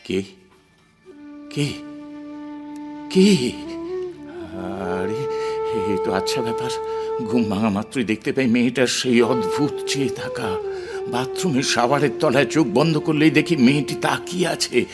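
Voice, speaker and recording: A man acting a flustered, introspective poet and editor in an audio drama, hesitant and repeating his words with dramatic pauses. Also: mid-range voice, sing-song, over loud music. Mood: agitated, haunted. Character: middle-aged male poet and editor, flustered, smitten, introspective. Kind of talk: Bengali audio drama acting with brooding narration.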